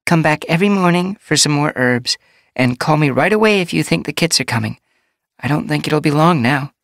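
A woman voicing a calm female medicine cat who talks in a brisk, kindly, matter-of-fact way. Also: deep voice, no room sound, studio quality. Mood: soothing.

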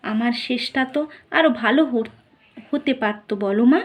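A young woman voicing the grieving ghost of a murdered young woman doctor, pleading tearfully with her mother in a slow, dramatic monologue that sometimes drops into whispers. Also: a little high. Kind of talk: dramatic first-person monologue.

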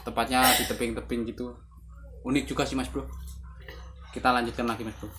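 A man's voice: mid-range voice, level tone, brisk, over music. A young man in his twenties giving casual, laid-back reaction commentary in a small room, close to a phone camera, constantly addressing his viewers as bro. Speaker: reaction video host. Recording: loud background noise.

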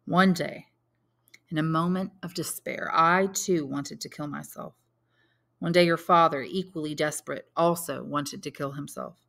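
A woman reading aloud and voicing a solemn, commanding male count who speaks in a measured, persuasive way. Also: low-pitched, fairly even intonation, gravelly, a bit bright.

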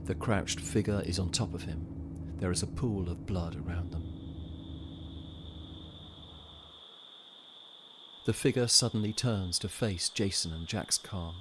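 Grave horror-drama narrator, played by a man, low-pitched. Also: moderate pace, few pauses, background noise, natural voice. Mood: ominous. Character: grave male narrator of a bleak horror tale. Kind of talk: scripted audio drama narration.

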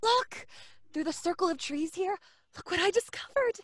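A woman playing a brash, high-spirited young woman who wisecracks in breezy slang, in old-time radio drama style. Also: animated, moderate pace, a bit bright, no echo, clean studio sound. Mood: excited.

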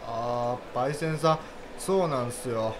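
A young man played by an actor speaks politely and hesitantly, like a hapless junior in a naturalistic radio drama. There is clear background noise around his voice. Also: medium pitch, moderate pace, natural voice. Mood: hushed concern.

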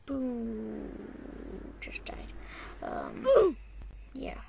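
A teenage girl talking quietly over her gameplay in a hoarse, half-whispered voice, rambling and hesitant, on a poor computer mic. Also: a little high, big pitch swings, extremely slow. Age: teens. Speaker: gamer. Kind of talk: casual gameplay commentary. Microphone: poor-quality computer mic.

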